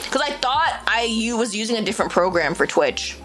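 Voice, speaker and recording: Animated young woman streamer, lively pitch. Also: a little fast. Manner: animated, exclaiming, swearing, laughing often. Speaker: VTuber streamer. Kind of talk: casual live-stream reading and chat. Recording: faint music behind.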